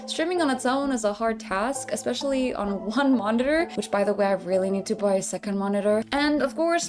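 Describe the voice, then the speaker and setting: A woman VTuber narrating her own video casually, with a wry, playfully grumbling manner. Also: a little high. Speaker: VTuber and content creator. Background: background music.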